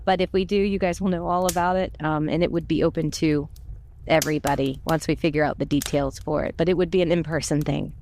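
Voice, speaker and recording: A woman's voice: sits low, steady tone, quick pace, a little mellow, slight background noise. A middle-aged woman telling stories casually, in an exuberant, chatty and self-deprecating way. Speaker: audio drama voice actor and podcast cast member. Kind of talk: casual conversational storytelling.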